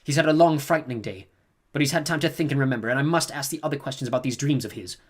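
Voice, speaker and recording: A man's voice: medium pitch, rapid-fire, pitched above the performer's own voice, close to an everyday voice. A man voicing a stern, commanding old woman who scolds sharply in an audiobook reading. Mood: probing. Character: stern imperious old woman, a reverend mother.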